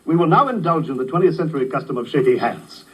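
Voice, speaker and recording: An actor playing a formal, awestruck time traveler from the future, speaking stiffly and ceremoniously in a 1950s radio drama. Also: male voice, fairly high pitch, expressive, quick pace, husky. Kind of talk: 1950s radio science fiction acting. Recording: dull, band-limited old radio sound.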